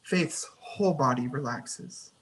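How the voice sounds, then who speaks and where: A narrator at a screenplay table read, with a voice of medium pitch. The delivery is scripted narration of scene headings and action. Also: slow pace, breathy edge, low-quality recording. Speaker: narrator reading stage directions.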